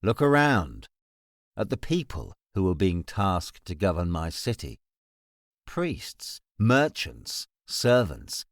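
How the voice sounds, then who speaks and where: Man playing a low, swooping, contemptuous fantasy lord. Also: no echo, clean studio sound, full character voice. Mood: scornful. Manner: imperious, cutting, deliberate formal speech. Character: cold, contemptuous, all-knowing fantasy lord. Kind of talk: full-cast fantasy audiobook acting.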